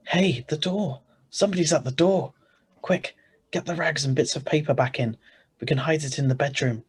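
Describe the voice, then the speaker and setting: A man as a wry, husky, stubborn husband. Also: a little high, moderate pace. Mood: hushed, hurried. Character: stubborn, greedy ordinary husband, wry and brisk. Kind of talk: radio thriller narration and dialogue.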